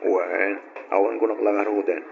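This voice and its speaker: Low-voiced evasive Ilocano boyfriend. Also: male voice, fairly even intonation, moderate pace, creaky. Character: evasive, preoccupied young boyfriend. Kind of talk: old Ilocano radio drama acting. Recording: noisy, dull lo-fi radio recording.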